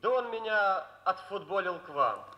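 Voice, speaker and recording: A man's voice: high-pitched, sing-song, normal speed, pushed and bright, muffled recording, heavily acted. An actor playing an insistent man on official business in a Soviet radio drama. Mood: complaining.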